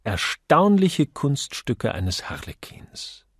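A man's voice: mid-range voice, big pitch swings, slow pace, slightly rough, dry recording, clean studio sound. A man reading scripted audiobook narration.

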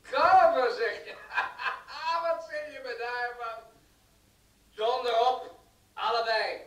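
Coarse drunken villain, high-pitched, slow, jeering, intercom voice, radio play. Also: male voice, fairly bright tone, heavily acted. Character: gruff, jeering, drunken male rich villain. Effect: voice heard over an intercom. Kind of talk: radio drama acting. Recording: echoey, distant intercom sound.

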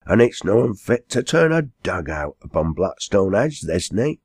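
Man voicing a husky, blunt Lancashire quarryman. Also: lowish voice, sing-song, moderate pace, darkish tone, no room sound, clean studio sound, pitched above the performer's own voice, heavily acted. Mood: gruff, doubtful. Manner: emphatic dialect talk. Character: blunt, hearty rural quarryman at an inn.

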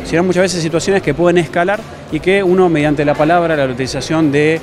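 Argentine man, thirties, instructor interviewed in a gym, slightly high voice, music behind. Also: fairly even intonation, fast. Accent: Argentine Spanish. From La Plata, Argentina. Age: 30s. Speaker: self-defense instructor being interviewed. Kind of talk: spontaneous interview answers. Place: large busy gym hall, indoors. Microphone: handheld reporter microphone.

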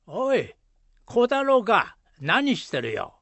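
A gravelly old country headman played by a man in a radio drama, gruff and proud, with a Shizuoka accent. He takes his time, grunting and pondering, with lively swings of pitch. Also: no echo, exaggerated voice. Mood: gruff curiosity. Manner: slow, rustic, grunting hesitations.

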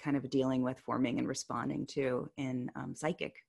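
Low-voiced woman moderating a panel. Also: level tone, normal speed, creaky, a little mellow. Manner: hesitant, many ums, thoughtful. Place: home study with bookshelves. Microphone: headset on video call.

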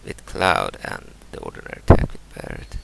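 A man in his thirties from Sweden, a native Swedish speaker, giving casual commentary over a video game, halting and restarting, explaining each move. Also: slight background noise. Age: 30s. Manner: halting, repeats words and restarts, explains moves step by step. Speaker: gameplay commentator. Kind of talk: casual let's-play commentary.

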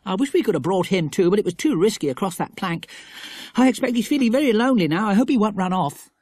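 A storyteller voicing Philip, an excited, adventurous schoolboy who talks eagerly in exclamations. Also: medium pitch, quick pace, husky, no echo, voice pushed up. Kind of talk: audiobook character reading.

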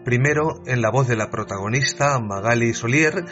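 A man's voice: medium pitch, normal speed, a bit bright. A man hosts a radio program about film music and talks in a conversational way. The sound is dull and carries a music bed. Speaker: radio host, author. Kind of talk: radio chat.

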